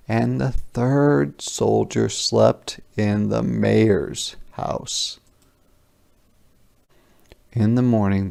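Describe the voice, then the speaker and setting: A man's voice: fairly low pitch, gravelly, darkish tone. A man narrating a children's picture book as a scripted read-aloud. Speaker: storybook reader.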